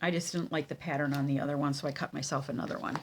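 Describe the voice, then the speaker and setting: A woman with a cold and a nasal, congested voice, teaching a casual card-making tutorial at her craft table, with many ums and pauses. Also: sits low, fairly even intonation, a little mellow, slight background noise.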